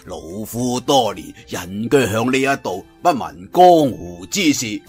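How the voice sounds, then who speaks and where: A man's voice: medium pitch, lively intonation, a little mellow, breathy edge, faint music behind, voice pushed down. Jovial old Cantonese martial arts hermit by a man. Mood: jovial, proud. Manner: chuckling, unhurried, amused. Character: short plump old male hermit master, jovial and sly.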